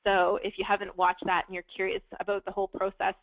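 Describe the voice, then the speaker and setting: A woman's voice: mid-range voice, completely flat delivery, fast talker, no echo. Woman teaching over tinny webinar audio. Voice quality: talks at length with many fillers and restarts. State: losing her voice. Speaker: real estate investing webinar presenter. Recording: dry, phone-like webinar audio.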